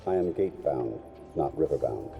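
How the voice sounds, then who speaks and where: An actor playing a stern, measured assassin instructor in a video game, with a gravelly voice and formal, commanding delivery. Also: male voice, animated, lo-fi sound, full character voice. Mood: curt, grave. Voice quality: gravelly, very deep. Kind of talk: scripted game dialogue. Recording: game dialogue under music.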